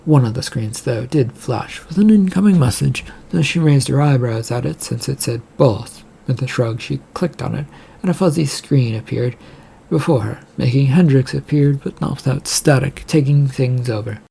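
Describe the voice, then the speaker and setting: A man's voice: medium pitch, moderate pace, creaky, warm tone, breathy edge. A man reading out scripted story narration, now and then stumbling over a word and repeating it.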